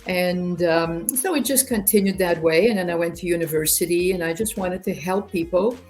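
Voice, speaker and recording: French-speaking Canadian woman telling her story, halting. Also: lowish voice, darkish tone, faint music behind. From rural Ontario near Quebec, Canada. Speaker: interview guest, human rights youth organisation director. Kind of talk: conversational personal storytelling in an interview.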